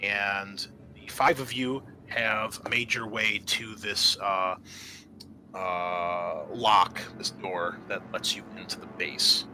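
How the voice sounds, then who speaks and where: A man working as game master, narrating a tabletop roleplaying scenario with measured, storyteller-like scene-setting. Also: lowish voice, steady tone, bright, forceful tone, soft background music.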